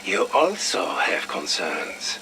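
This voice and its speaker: A man playing a grave Cold War conspirator in a game radio transmission, speaking in a deliberate, secretive way. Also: a bass voice, wildly swooping pitch, bright, forceful tone, heavily acted. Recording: faintly noisy radio transmission.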